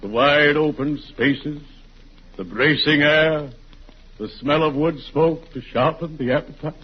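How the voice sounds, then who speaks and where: An actor playing a gloomy, genteel undertaker with formal, savoring speech and a sepulchral tone, in 1940s radio comedy style. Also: male voice, on the high side, breathy edge. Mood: solemn delight. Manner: slow, formal, unctuous, savoring words. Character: gloomy genteel undertaker, morbidly cheerful, drawn-out funereal delivery. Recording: noisy muffled old-time radio recording.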